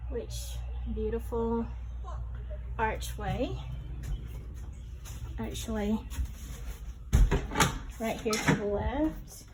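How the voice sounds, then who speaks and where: A woman giving a casual vlog house tour, talking in a halting, gushing, conversational way. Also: on the high side, background noise.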